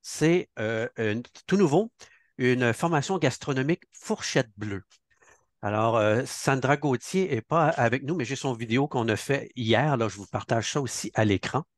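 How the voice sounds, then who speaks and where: A man's voice: mid-range voice, moderate pace, dry recording. A man hosting a program launch on a video call, chatty, with many fillers and an occasional Spanish greeting. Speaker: event host.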